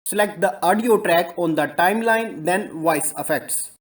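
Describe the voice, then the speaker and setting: A man's voice: high voice, normal speed. A man hosting a scripted how-to tutorial, with an upbeat, formulaic presenter delivery. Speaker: tutorial host. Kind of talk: scripted software tutorial narration.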